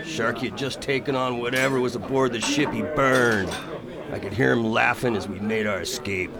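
A man acts a plain-spoken sea captain of old in a radio drama. His creaky, medium-pitched voice has a folksy sailor's dialect. A crowd murmurs behind him. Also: steady tone, normal speed, natural voice. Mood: wary recounting. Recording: busy crowd noise behind voice.

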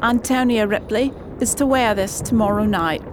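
A woman's voice: fairly high pitch, normal speed, noisy background, natural voice. A woman playing a grave informant in a radio drama, recounting a villain's scheme. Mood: explaining.

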